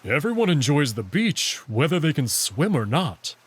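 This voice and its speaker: A man voicing a self-assured, teasing male wolf character from a visual novel, casual and gently bossy. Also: a little high, sing-song, moderate pace, slightly rough. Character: confident, teasing male anthropomorphic wolf, commanding yet caring.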